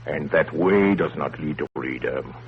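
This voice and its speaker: An actor playing a courteous, commanding, self-important detective in 1930s radio drama, with pompous, formal, deliberate delivery. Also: male voice, extremely low pitch. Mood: grave warning. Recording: tinny, noisy, humming 1930s radio broadcast.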